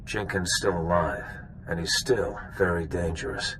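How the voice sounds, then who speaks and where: A man's voice: deep voice, measured pace, a bit bright, slight background noise. An actor playing a seasoned bounty hunter in a video game, wry and knowing as he lays out old history. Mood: explaining, cautionary.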